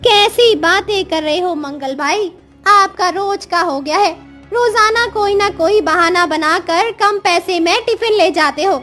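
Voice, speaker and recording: A woman's voice: very high-pitched, unhurried, fairly bright tone, soft background music. A woman voicing an irritable, complaining village woman who sells tiffin meals in a cartoon story. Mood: annoyed, scolding. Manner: scolding, complaining.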